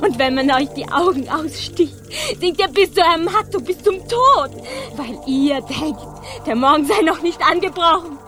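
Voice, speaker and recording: Hohe, bissige Frauenstimme im Hörspiel. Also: female voice, high voice, moderate pace, hardly any pauses, bright, forceful tone, faint music behind. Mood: bitter, accusing. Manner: biting, declamatory. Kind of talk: German radio drama acting.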